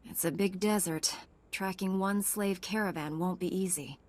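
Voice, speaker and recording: A woman's voice: mid-range voice, normal speed, natural voice. Woman playing a resolute heroic space knight. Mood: serious, concerned. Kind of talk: video game voice acting.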